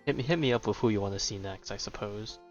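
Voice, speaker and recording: A man's voice: on the low side, quick pace, muffled recording. A man giving casual, slangy, offhand commentary as he streams a video game. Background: game music.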